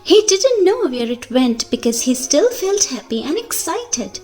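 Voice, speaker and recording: A woman reading a children's picture book aloud in a slow, expressive storytelling manner for young listeners. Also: sits high, faint music behind.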